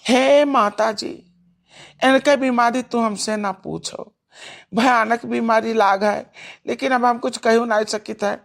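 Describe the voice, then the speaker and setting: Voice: mid-range voice, fairly even intonation, measured pace, creaky, fairly bright tone. An actor voicing a blunt, money-minded village doctor in a cartoon comedy, with an Awadhi accent and matter-of-fact delivery. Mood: grave.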